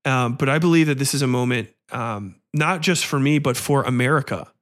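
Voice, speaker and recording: A man's voice: medium pitch, a little fast, fairly bright tone, voice pushed down, lightly acted. Man doing a glib, smug Hollywood star apologizing. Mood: pompous solemnity. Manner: rambling, stammering, insincerely apologetic, glib. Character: smug, self-serving Hollywood actor giving a damage-control press statement. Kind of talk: mock press-conference speech.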